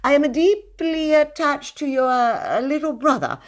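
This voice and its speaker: A woman's voice: high voice, voice pushed up. A woman reading aloud voices a prim, pompous thin male would-be poet who stammers and hesitates with many uh's. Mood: fawning. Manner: fussy, halting with many uh's.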